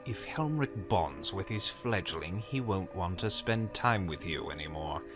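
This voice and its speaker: An actor playing a pompous, impatient and menacing high cleric villain in a dark fantasy drama. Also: male voice, animated, unhurried. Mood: calculating. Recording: loud music behind the voice. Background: music.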